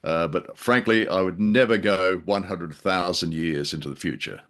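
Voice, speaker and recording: A man's voice: deep voice, moderate pace, fairly bright tone. A man co-hosting a podcast, chatting casually about old radio drama in a wry, talkative manner. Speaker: podcast co-host.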